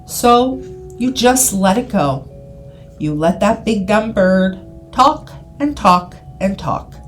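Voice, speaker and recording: A woman reading a scripted guided hypnosis session in a soothing, deliberate manner, with repeated phrases and pauses. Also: medium pitch, animated. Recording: voice over background music. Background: background music.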